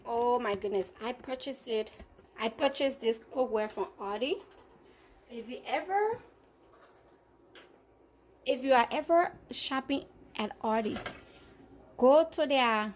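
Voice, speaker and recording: A woman's voice: a little high, a little hiss or hum. Chatty woman cooking at home. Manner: chatty, upbeat, addresses viewers as guys. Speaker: cooking vlogger. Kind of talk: casual cooking chat. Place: home kitchen at the stove.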